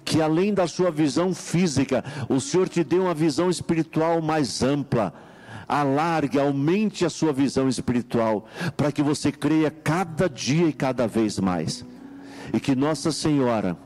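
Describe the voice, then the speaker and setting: A man hosting a late-night radio prayer line, his voice mellow and dark. He prays slowly and solemnly, drawing out each petition, and answers the caller with soft, steady affirmations. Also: fairly high pitch. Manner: slow solemn praying, calm affirming murmurs.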